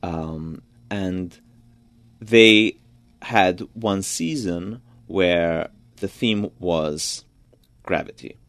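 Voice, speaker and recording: A man's voice: deep voice, drawn-out words, fairly bright tone, no room sound. A young man from Georgia whose first language is Georgian, answering interview questions thoughtfully and often restarting his sentences. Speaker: interviewed pianist and composer. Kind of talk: radio interview.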